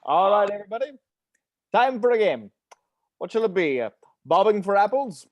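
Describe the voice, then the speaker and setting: A man's voice: high voice, sing-song, moderate pace, lo-fi sound. A man playing an exuberant, genteel patriarch of a macabre comedy family, hearty and theatrical in a table read. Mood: jovial. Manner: theatrical, hearty, old-fashioned.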